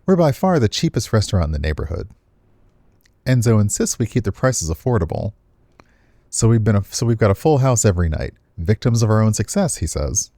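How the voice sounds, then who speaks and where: A man plays a frank, easygoing guy on a restaurant staff in a visual novel. His voice is low and mellow. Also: sing-song, a little fast, dry recording, studio quality. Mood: friendly.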